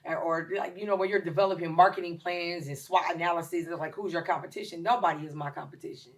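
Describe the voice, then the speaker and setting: Woman, late forties, lowish voice, emphatic, lively, casual podcast guest. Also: fast talker. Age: 40s. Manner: lively, emphatic, with shout-outs and filler ums. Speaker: chef and caterer, guest.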